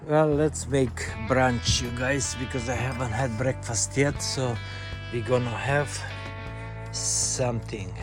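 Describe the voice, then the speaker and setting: A man's voice: mid-range voice, steady tone, slow pace, over music. A man hosting a casual hands-on vlog, explaining things step by step and talking directly to his viewers. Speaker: vlog host. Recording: clear background noise, vehicle and ambience.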